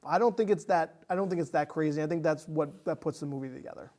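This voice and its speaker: Higher-voiced man in casual movie talk. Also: fast talker, darkish tone. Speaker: podcast guest or co-host.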